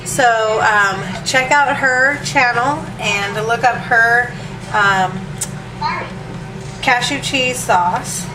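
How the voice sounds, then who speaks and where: Home cook, woman, a bit higher voice, stuffy from allergies, casual and rambling; distant camera mic, roomy kitchen echo. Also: very slow. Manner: chatty, relaxed, lots of ums and asides. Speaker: home cook showing a recipe. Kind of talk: casual cooking demo. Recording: echoey room, distant mic, hiss.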